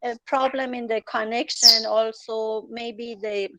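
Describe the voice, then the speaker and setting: A woman's voice: fairly high pitch, fairly even intonation, a little slow, very noisy. A woman whose first language is Farsi, a journalist and travel writer answering interview questions hesitantly, with many fillers and restarts. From Iran.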